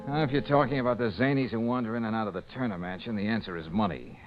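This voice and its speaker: An actor playing a tough, confident, sardonic private detective in an old-time radio drama, slangy and commanding, on a muffled recording. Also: male voice, on the low side, racing, faint music behind, lightly acted. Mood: tough, mocking.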